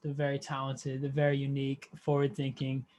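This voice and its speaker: A man's voice: medium pitch, monotone, a little fast, a loud crowd. A man hosting an interview over a video call, easygoing and full of fillers. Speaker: interview host. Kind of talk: casual interview chat.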